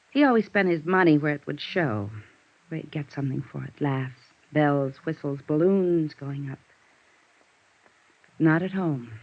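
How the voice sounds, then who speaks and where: A woman playing a world-weary, bitter, guarded widow in a 1950s radio drama, speaking in wistful, halting confessions. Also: low-pitched, natural voice. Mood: bitter, resigned. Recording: dull, band-limited vintage radio recording.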